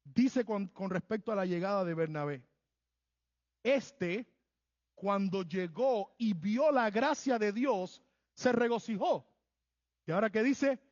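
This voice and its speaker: A man's voice: sits high, animated, takes it slowly, pauses often, darkish tone. A man preaching a sermon as pastor of a church, earnest and emphatic, repeating phrases to drive his points home. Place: church sanctuary. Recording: long hall reverb, dull lo-fi sound.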